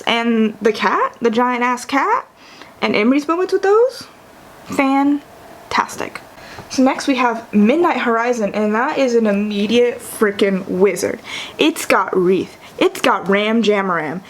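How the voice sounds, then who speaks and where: A young woman with a slightly high voice talks through a casual book ranking for her vlog. She is chatty and enthusiastic, correcting herself mid-sentence and filling pauses with "like". A faint hum of room noise sits behind her. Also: low-quality recording. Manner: chatty, enthusiastic, self-correcting with many fillers. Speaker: book vlogger.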